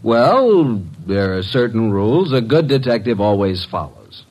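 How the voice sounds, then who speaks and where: An actor playing a breezy, wisecracking detective's assistant in vintage radio drama style. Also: male voice, medium pitch, very animated, measured pace, darkish tone. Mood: breezy, sheepish. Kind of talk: 1940s-50s American radio detective acting.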